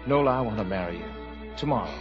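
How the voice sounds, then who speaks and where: A man's voice: lowish voice, lively intonation, quick pace, close to an everyday voice. An actor playing a charming, courtly young man in love, in a 1950s radio musical drama, speaking earnestly. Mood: ardent, pleading. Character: charming, courtly young riverboat gambler, an ardent suitor. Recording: muffled old radio broadcast with music.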